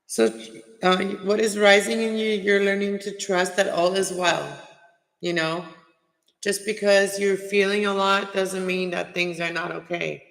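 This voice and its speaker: Casting note: raspy male tarot reader, bright and gravelly, reassuring chatter in a roomy space with a long echo. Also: high voice, level tone, measured pace. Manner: chatty, reassuring, many filler phrases. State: raspy, throat strained. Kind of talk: casual livestream tarot reading. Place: home, cold room. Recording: echoey room with long reverb.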